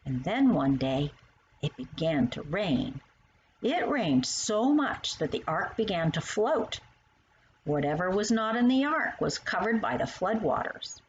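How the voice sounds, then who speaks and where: Woman reading as kindly older woman, animated storytelling. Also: lowish voice, measured pace, lo-fi sound, slight background noise. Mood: patient storytelling. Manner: patient, explanatory, storytelling. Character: kindly, playful older woman teaching a young child.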